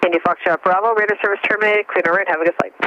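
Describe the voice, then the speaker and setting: Quick, high-voiced male tower controller on radio. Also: fairly even intonation. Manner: brisk, procedural. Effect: aviation radio. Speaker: air traffic tower controller. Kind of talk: air traffic control radio instructions. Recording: dull lo-fi radio with faint static.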